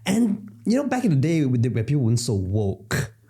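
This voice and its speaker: Chatty man with a husky voice. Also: medium pitch, huge pitch swings, fairly quick, dark tone. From Singapore. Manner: chatty, rambling, jokey asides. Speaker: podcast host. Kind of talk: casual conversation.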